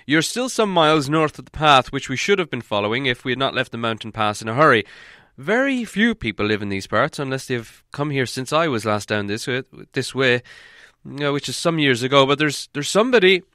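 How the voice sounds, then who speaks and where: A man's voice: mid-range voice, very animated, fairly quick, pushed and bright, no echo, clean studio sound. A man voicing a wise, self-assured old wizard who speaks in a raised, emphatic way and stumbles over some restarts. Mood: earnest.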